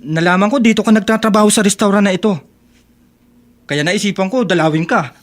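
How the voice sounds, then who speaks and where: An actor playing a loyal young student, gentle and concerned, in a casual radio drama scene. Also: male voice, high voice, a little fast, faint music behind, natural voice. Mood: concerned, friendly.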